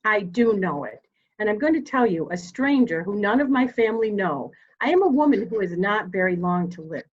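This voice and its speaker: A woman's voice: mid-range voice, lively intonation, natural voice. Woman playing a dignified elderly matriarch, slightly muffled. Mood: grave, resolute. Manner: formal, deliberate, commanding. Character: elderly dying matriarch, dignified, steely, grieving mother. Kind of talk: dramatic reading of a murder mystery.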